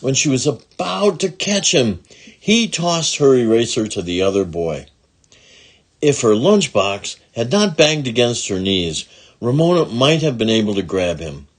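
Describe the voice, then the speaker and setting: A man's voice: medium pitch, very animated, a little slow. A man narrating a children's storybook expressively, with dramatic emphasis on words. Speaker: children's book read-along narrator.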